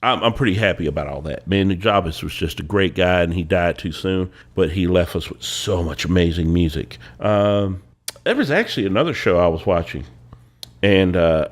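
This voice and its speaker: A young man with a congested voice from a cold, chatting casually as a podcast co-host, unhurried and full of "uh" pauses. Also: deep voice. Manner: relaxed, drawling, with many "uh" pauses. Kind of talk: casual chat.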